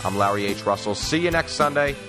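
A man's voice: lowish voice, animated, brisk. A man hosting a sports talk podcast in an emphatic, wordy announcer style. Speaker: podcast host. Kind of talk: sports talk interviewing and show sign-off. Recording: music bed under the voice. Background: music.

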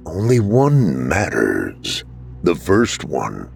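A man voicing a mysterious invisible being, terse, slow, calm and cryptic. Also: extremely low pitch, vocal fry, soft background music, pitched below the performer's own voice, exaggerated voice.

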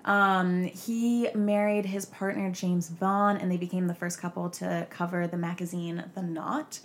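A young woman hosts a chatty gossip podcast with a gravelly, creaky voice. She sprinkles her talk with "like" and keeps an easy, casual tone. A faint hiss runs under the recording. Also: on the low side, flat delivery, measured pace. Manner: chatty, many "like" fillers. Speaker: podcast host.

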